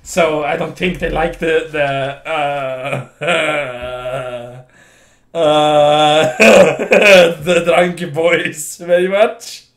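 A man's voice: fairly high pitch, steady tone, extremely slow. A young Swedish man with a strong Swedish accent, drunk, rambling and slurring through casual commentary while streaming a video game. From Sweden. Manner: rambling, giggly, slurring and restarting words. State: voice going hoarse. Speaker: livestream gamer.

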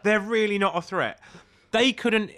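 A man's voice: high-pitched, a little slow, fairly bright tone. A British man co-hosting a podcast with casual, sweary, incredulous comic banter. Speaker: podcast co-host.

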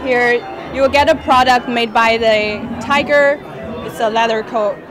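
A woman with a fairly high voice explains her project in plain, simple sentences. Loud music and a dense crowd surround her. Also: steady tone, normal speed. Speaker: student presenting her project. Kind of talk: exhibition demo explanation. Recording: noisy crowded exhibition recording.

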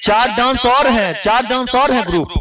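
A man's voice: extremely high pitch, normal speed, bright, forceful tone. A man negotiating heatedly on a phone call, insistent and repeating himself, as an event organiser. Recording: phone call recording with crowd noise.

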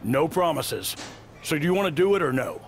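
An actor playing a laid-back male wrestling veteran in a video game cutscene, speaking in a casual, low-key, persuasive way. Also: very animated, a little fast, vocal fry, slight background noise.

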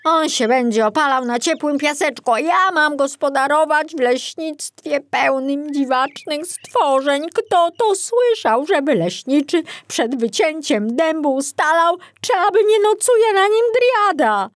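A woman's voice: sits high, expressive, a little slow, hardly any pauses, dry recording, voice pushed up. A woman voicing an anxious, flustered young male forester in a children's audiobook, plaintive and exclamatory. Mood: indignant.

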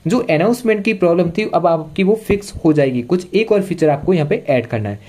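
A man's voice: high voice, lively intonation, fairly quick, mellow. A man hosting a tech tutorial, explaining step by step in repetitive stock phrases.

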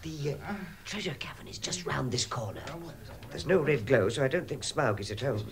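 An actor playing a fussy, timid, good-hearted hobbit burglar in a radio drama, with a British accent and a stammering, hesitant manner. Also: male voice, mid-range voice, lively intonation, normal speed, a crowd in the background, close to an everyday voice. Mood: cheerful, flustered. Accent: British English.

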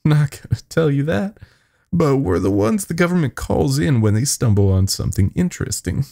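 A man's voice: mid-range voice, sing-song, moderate pace, gravelly, warm tone, voice pushed up. A man voicing a nervous young male intern with secrets in an audio horror story, urgent and blunt. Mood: awed, rattled.

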